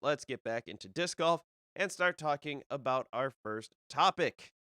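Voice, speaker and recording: A young man talks on his own as the host of a casual show, rambling and restarting his sentences. He sounds a bit nasal from a cold. His voice is smooth and resonant, with a dry, close sound and no room echo. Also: mid-range voice, expressive, measured pace, sharp tone. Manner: rambling, with many fillers and restarts. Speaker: disc golf podcast host. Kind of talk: casual solo live-stream talk.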